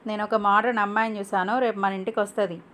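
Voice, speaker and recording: A woman's voice: mid-range voice, level tone, quick pace, fairly bright tone, natural voice. A woman voicing a proud, assertive middle-aged mother in a cartoon moral story. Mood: insistent, proud. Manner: assertive, dismissive to husband. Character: middle-aged mother, proud, bossy, set on a stylish daughter-in-law.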